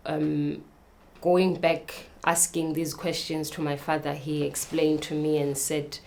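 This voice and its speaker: A woman in her thirties from Zimbabwe, telling her own story as a guest and making a personal appeal, speaking carefully with many pauses and ums. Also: sits low, darkish tone. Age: 30s.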